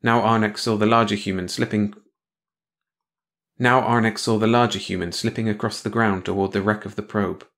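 A man's voice: fairly low pitch, little pitch movement, moderate pace, a bit bright. A man reading a scripted science fiction story as an audiobook narrator, in bedtime read-along style.

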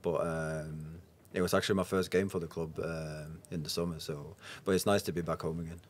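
A young man talks in a very low-pitched voice. He speaks English as an in casual podcast conversation, as a guest who plays football. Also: fairly even intonation, a little fast, slight background noise. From Norway. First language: Norwegian. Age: 20s. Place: indoor lounge booth. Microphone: podcast microphone on boom arm.

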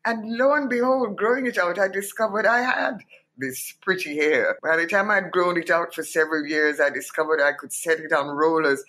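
Jamaican woman, 80s, interview guest, animated, emphatic storytelling, bright forceful voice. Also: on the low side, moderate pace. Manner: animated, emphatic, repeats points for weight.

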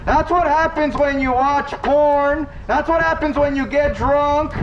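A man preaching loudly outdoors to a street crowd, condemning and repetitive in manner. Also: very high voice, steady tone, a little slow, gravelly. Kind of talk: open-air preaching to passing crowds. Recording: distant, muffled outdoor recording with street noise.

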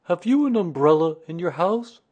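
A man acts out a storybook toy bear with a raised, singsong pitch. He is earnest and a little anxious, and weighs his words ponderously as he thinks things through. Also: big pitch swings, normal speed, a little mellow, muffled recording. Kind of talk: children's storybook character reading.

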